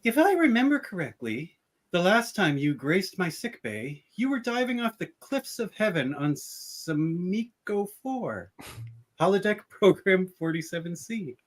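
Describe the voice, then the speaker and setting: A woman's voice: lowish voice, sing-song, unhurried. A woman playing a good-humored starship doctor in an amateur radio drama, with an easygoing, teasing bedside manner. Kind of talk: amateur radio theatre reenactment.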